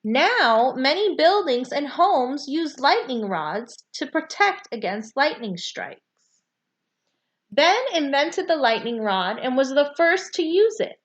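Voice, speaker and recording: High-pitched woman reading aloud slowly. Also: sing-song, lo-fi sound. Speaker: read-aloud storyteller. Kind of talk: reading a children's nonfiction book aloud.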